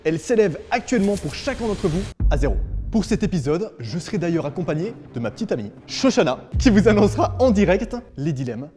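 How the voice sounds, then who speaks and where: A man's voice: high-pitched, big pitch swings, brisk, warm tone. A man hosting a game show, announcing the rules in a scripted, dramatic way. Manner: dramatic, suspenseful delivery. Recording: long hall reverb. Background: music.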